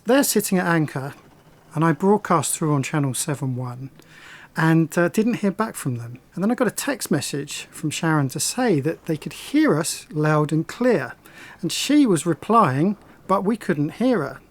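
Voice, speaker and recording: A man's voice: on the high side, animated, moderate pace. A man talking casually to camera as a sailing vlogger, explaining things and thinking aloud in a slightly hesitant way. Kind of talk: casual explanatory vlog talk.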